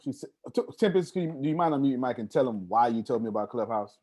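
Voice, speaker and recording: Highly animated man coaching a group. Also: very fast. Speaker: host and business coach leading a group call. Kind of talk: animated motivational coaching talk. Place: online group video call.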